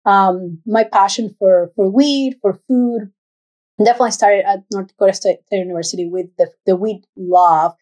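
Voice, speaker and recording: Female interview guest, native Spanish speaker with Ecuadorian accent, soft-edged warm timbre, mid pitch, informal answers. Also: steady tone, moderate pace, poor audio. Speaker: interview guest, executive director.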